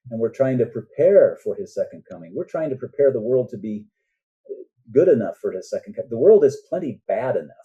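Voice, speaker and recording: A man's voice: mid-range voice, big pitch swings, brisk, husky, narrow, tinny audio. An older man talking as a guest author in an interview, explaining his thoughts earnestly in a conversational way.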